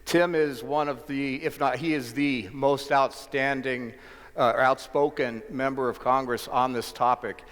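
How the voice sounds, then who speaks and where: A man's voice: mid-range voice, steady tone, measured pace, a bit bright. A man hosting a live event, introducing a guest with hesitant, admiring remarks. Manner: hesitant, admiring, many fillers. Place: convention hall. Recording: long hall reverb.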